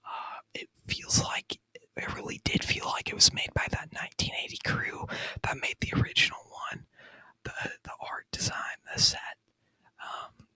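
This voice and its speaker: A man speaking in a breathy, unvoiced whisper close to the microphone, chatting in an informal, rambling, enthusiastic ASMR review style. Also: bright, forceful tone, no echo, rough recording. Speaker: ASMR video creator and gamer. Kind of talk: whispered ASMR movie review, casual chat. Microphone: close microphone, dry sound.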